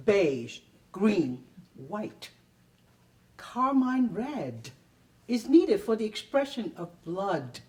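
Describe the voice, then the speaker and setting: A woman giving a deliberate, theatrical poetry reading with pauses, at a live event. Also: medium pitch, a little mellow, far from the mic. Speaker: performer reading own poetry. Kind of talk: dramatic poetry reading to an audience.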